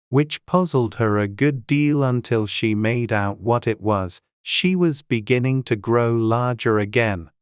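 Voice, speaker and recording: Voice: medium pitch, clean tone, no room sound, studio quality. An audiobook narrator reading a scripted storybook.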